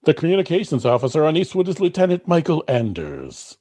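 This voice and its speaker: A man's voice: on the high side, wildly swooping pitch, brisk, warm tone. A man narrating a comic sci-fi radio drama in a dry, announcer-like manner.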